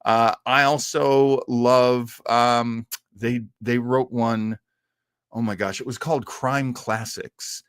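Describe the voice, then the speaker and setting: A man hosting an old-time radio show livestream, chatting casually and enthusiastically in a rambling, halting way full of tangents. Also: mid-range voice, fairly even intonation, fairly bright tone, clean tone, dry recording, clean studio sound.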